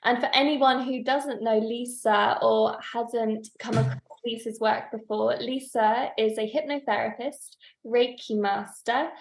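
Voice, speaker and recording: Woman podcast host, slightly high, gravelly voice, warm and rambling; echoey room. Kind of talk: podcast interview.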